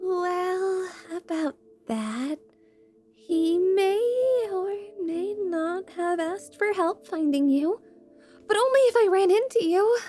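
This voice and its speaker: Woman voicing a bubbly young female adventurer, high-pitched, eager, easily flustered, loud and cheerful, fantasy roleplay, faint music. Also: lively intonation, takes it slowly, exaggerated voice. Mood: nervous, cheerful. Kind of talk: fantasy ASMR roleplay acting.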